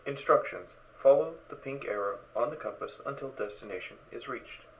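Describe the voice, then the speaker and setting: A man voicing a literal-minded spacesuit computer in an audio drama, clipped, formal, mechanical and deadpan. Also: medium pitch, normal speed, natural voice. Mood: informative, flat. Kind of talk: fan audio drama character acting. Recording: dull, distant, noisy sound.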